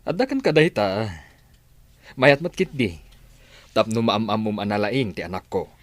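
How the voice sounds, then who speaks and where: A man playing a gentle, reassuring father in a radio drama, speaking in a measured, affectionate manner. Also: lowish voice, very animated, no room sound. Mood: genial. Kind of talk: Ilocano radio drama acting. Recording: music under the voice.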